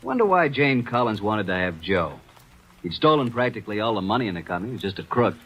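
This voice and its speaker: A man's voice: fairly low pitch, big pitch swings, quick pace, slightly rough, dull audio. An actor in a 1940s radio drama playing a wisecracking male assistant detective who talks breezy and cocky. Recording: old radio transcription.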